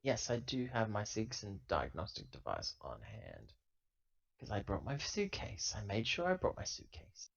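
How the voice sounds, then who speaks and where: A man playing a tabletop roleplaying game, improvising casually and thinking out loud in a rambling way, on a lo-fi laptop recording. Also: lowish voice, huge pitch swings, moderate pace, creaky.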